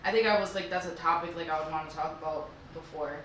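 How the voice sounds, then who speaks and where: A woman's voice: deep voice, steady tone, fast talker, background noise. Chatty woman in an echoey room. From Colombian roots. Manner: rambling, heavy on fillers. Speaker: podcast co-host. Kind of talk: casual chat. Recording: echoey room, mic far off.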